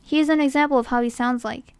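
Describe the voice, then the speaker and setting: Female casting-call host: smooth high voice, scripted explainer delivery, dry close sound. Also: steady tone, fast talker, no echo. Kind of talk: scripted narration.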